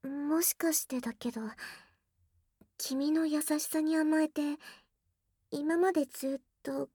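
A woman's voice: high-pitched, fairly even intonation, a little slow, dark tone, no room sound. A voice actress playing a young tsundere girlfriend, bashful yet teasingly bold, in an intimate ASMR audio drama on a close binaural mic. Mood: shy, hesitant. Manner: flustered, stammering, breathy asides dropping into whispers. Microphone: close binaural microphone, dry studio.

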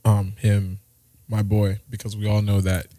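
A man co-hosting a podcast, teasing his co-host in an animated, joking way. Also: on the low side, a little slow, no echo, clean studio sound. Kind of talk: casual chat.

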